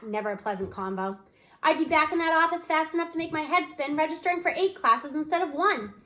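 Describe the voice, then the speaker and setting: A woman reading a children's novel aloud as an expressive first-person narrator. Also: high voice, low-quality recording.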